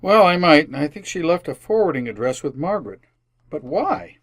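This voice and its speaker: A man's voice: a little high, animated, normal speed. An amateur actor playing a flustered, earnest family man in an old-time radio sitcom, hesitant and prone to speechifying. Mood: eager, flustered. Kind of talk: re-created 1950s radio sitcom acting.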